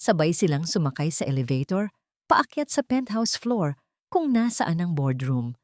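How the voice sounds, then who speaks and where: A woman's voice: lowish voice, sing-song, moderate pace, a little mellow, no echo, clean studio sound. A woman narrating a scripted love story in a dramatic storytelling style.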